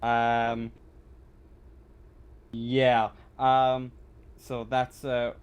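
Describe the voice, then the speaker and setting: A man narrating a tabletop game as game master, halting and often repeating his phrases as he improvises. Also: mid-range voice, completely flat delivery, clear, resonant tone.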